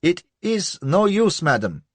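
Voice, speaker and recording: A shrill, cowering little official. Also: male voice, big pitch swings, takes it slowly, no echo, exaggerated voice. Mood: protesting. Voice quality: shrill, rising to a squeal. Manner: stammering, cowering, near tears. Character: timid little male court official, panicky and pleading.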